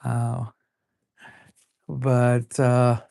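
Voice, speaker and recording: A woman in her forties with a low, deep voice, chatting casually while drawing live. She rambles, laughs often, and fills pauses with plenty of ums and uhs. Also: completely flat delivery, vocal fry, dark tone, no echo, studio quality. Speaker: artist drawing live on stream.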